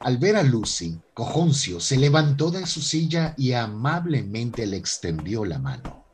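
A man's voice: mid-range voice, big pitch swings, measured pace, noisy background. A man narrating a scripted radio drama as its storyteller.

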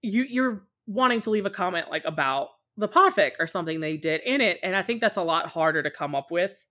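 A woman's voice: lowish voice, animated, fairly quick, pushed and bright. A woman talking casually and candidly as a podcast guest, at length and in a conversational way. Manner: talkative and candid, often acting out short quotes. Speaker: podcast guest, podfic creator.

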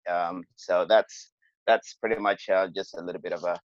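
Low-voiced guy from cameroon on a podcast, dry muffled lo-fi sound. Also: steady tone, moderate pace, dry recording. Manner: hesitant, many fillers and restarts. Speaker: podcast guest. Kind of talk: conversational interview storytelling. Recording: dull, lo-fi sound.

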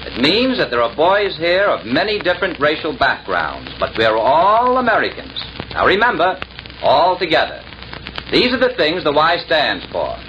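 An avuncular camp director, with a widely swinging, animated delivery and hesitant hems. It is 1940s radio acting on a dull, faintly hissy recording. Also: male voice, a little high, normal speed. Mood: genial, instructive. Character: genial, hesitant adult male summer camp director. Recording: muffled, faintly noisy 1940s radio broadcast.

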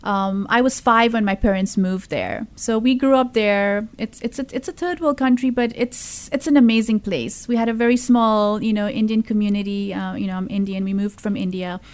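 A woman's voice: mid-range voice, steady tone, moderate pace, hardly any pauses. A woman in her thirties from Zambia, a podcast guest telling her story in casual talk, earnest, with many fillers and restarts. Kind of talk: casual conversation.

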